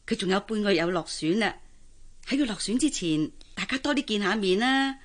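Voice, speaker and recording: A woman's voice: medium pitch, lively intonation, a little fast, lightly acted. A woman acting in a radio drama as an older, well-meaning housemaid with a Hong Kong accent, chatty and coaxing as she gives advice. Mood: pleased, coaxing. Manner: coaxing, advice-giving, cheerfully resigned.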